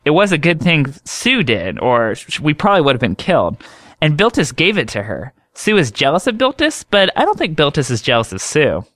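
A man's voice: a little high, wildly swooping pitch, brisk, hardly any pauses, fairly bright tone, dry recording, pitched above the performer's own voice, full character voice. A man voicing an earnest young man who explains his strange dreams in a thoughtful, reasoning way.

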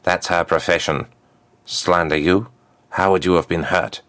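Man as a stern, low-voiced protector. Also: steady tone, unhurried, fairly bright tone. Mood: scolding. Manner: blunt, scolding, firm. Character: stern, protective male duelling second.

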